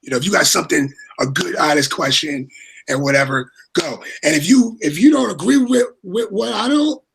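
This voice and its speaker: A man with a raspy voice chatting casually as a livestream co-host, rambling and repeating his words. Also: fairly high pitch, lively intonation, normal speed, a bit bright. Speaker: co-host and moderator.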